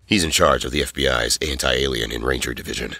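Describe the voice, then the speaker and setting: A man's voice: very deep voice, fast talker, sharp tone, husky, studio quality, heavily acted. A man playing a grave, decisive leader, commanding and terse, in an audio drama with long reverb. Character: decisive, grave male leader giving orders.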